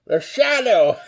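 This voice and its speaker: Actor as a mocking crimefighter, lively swooping voice, slow menace. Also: male voice, high voice, heavily acted. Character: suave male mystery vigilante, mocking and commanding. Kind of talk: 1930s radio drama reenactment.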